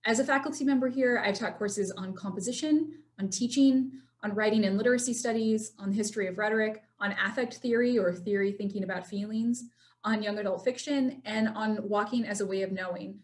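A woman English professor from Southern California introduces herself with upbeat enthusiasm. Her voice sits at a middle pitch. She speaks to a webcam. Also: a little fast. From Inland Empire, California. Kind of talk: faculty self-introduction. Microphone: video call webcam.

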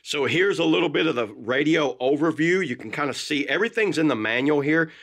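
A man's voice: on the high side, lively intonation, fairly quick, gravelly, fairly bright tone. Middle-aged man, casual gear reviewer. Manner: informal, folksy phrasing with "y'all" and "gonna". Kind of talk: casual unboxing and product review. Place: indoors at a desk.

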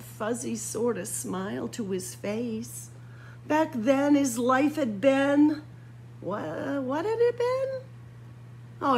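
A woman reading a children's picture book aloud expressively, with dramatic pauses and drawn-out emphasis. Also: fairly high pitch, slight background noise. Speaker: library story-time reader. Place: library room with bookshelves.

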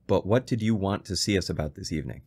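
An actor playing an earnest, haunted man who narrates a radio horror drama in a measured, ominous way. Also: low voice, a little mellow. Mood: anxious, questioning.

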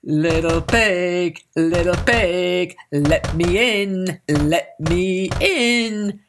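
A woman voicing a greedy, menacing male wolf in a children's storybook, drawing the words out slowly. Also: on the low side, noisy background. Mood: menacing coaxing. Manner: slow, drawn-out, menacing delivery.

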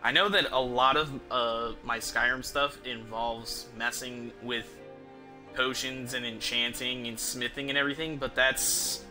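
A man's voice: mid-range voice, steady tone, measured pace, bright, forceful tone, faint music behind, poor audio. A young man casually commentating on his game live, rambling and swearing as he thinks aloud. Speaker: game streamer.

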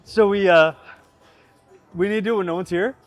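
A man's voice: sits high, fairly even intonation, soft background music. A young man chatting and joking with a friend while putting together gym equipment in a large indoor gym, picked up by a nearby camera. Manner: joking, quick banter. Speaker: gym vlogger. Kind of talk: casual chat while assembling gym equipment.

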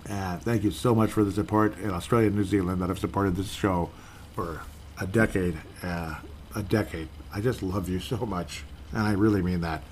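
Easygoing low-voiced man hosting a podcast. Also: fairly even intonation, normal speed, a little mellow, a little hiss or hum. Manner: easygoing, rambling, self-correcting. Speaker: podcast host. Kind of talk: casual solo podcast, reading listener comments.

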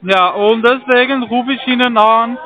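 A man with a foreign accent, not a native speaker, making a phone sales pitch in halting, ungrammatical sentences. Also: soft background music. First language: not German. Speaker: call centre phone salesman. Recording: muffled phone line.

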